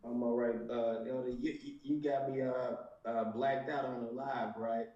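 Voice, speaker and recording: A man's voice: medium pitch, flat delivery, normal speed, dark tone, heavy reverb, distant mic, dull audio. A man calling in to a church livestream, speaking hesitantly and informally. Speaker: caller. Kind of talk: casual call-in.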